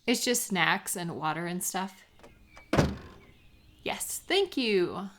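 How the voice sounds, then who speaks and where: A woman playing a warm, teasing best friend in a lighthearted, intimate audio roleplay, chatting with gentle encouragement and small hesitant pauses. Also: lowish voice, animated, very noisy. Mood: friendly, relaxed.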